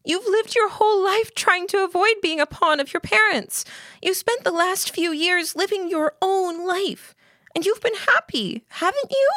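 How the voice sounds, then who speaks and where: Woman doing a upset girl in an audiobook, way high voice, begging and insistent. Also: expressive, a little slow, fairly bright tone, no echo, studio quality, full character voice. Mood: pleading. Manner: pleading, insistent. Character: distraught, pleading girl, fearful and emotional.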